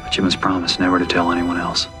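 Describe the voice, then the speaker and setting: An actor playing a calm, reserved male diplomat who speaks softly and in measured phrases, in a played clip. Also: natural voice. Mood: tender. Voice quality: soft, low and measured. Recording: played clip with music under it.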